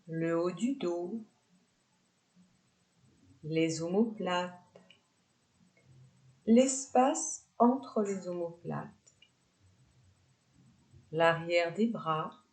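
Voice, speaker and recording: A woman leading a guided yoga nidra relaxation, giving unhurried, soft instructions with pauses between phrases. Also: lowish voice, poor audio.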